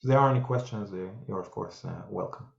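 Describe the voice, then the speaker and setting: Low gravelly guy hosting a video call, lots of uh and um, kinda echoey. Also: quick pace. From Israel. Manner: hesitant, many fillers. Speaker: tour guide hosting a live online session. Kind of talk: casual talk to an online group. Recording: roomy, dull lo-fi sound.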